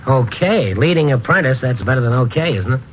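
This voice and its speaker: Actor as wry radio-drama investigator, muffled old recording. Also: male voice, medium pitch, animated, fairly quick, slight background noise, natural voice. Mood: casual, uneasy. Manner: wry, colloquial. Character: world-weary male investigator narrating his own tale, easygoing. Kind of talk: old-time radio drama acting and narration.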